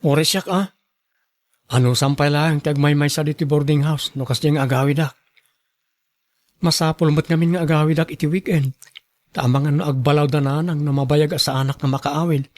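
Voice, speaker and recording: A man's voice: a little high, fairly even intonation, moderate pace, darkish tone, close to an everyday voice. A man with a Filipino accent playing an earnest, apologetic young student in a radio drama. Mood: concerned, apologetic. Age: young adult.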